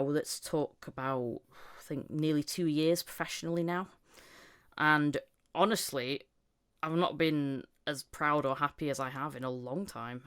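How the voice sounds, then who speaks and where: A woman's voice: low-pitched, smooth. A woman in her thirties talking casually and candidly as a guest, telling her stories with acted-out quotes. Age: 30s. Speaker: voice actor, podcast guest. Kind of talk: casual chat.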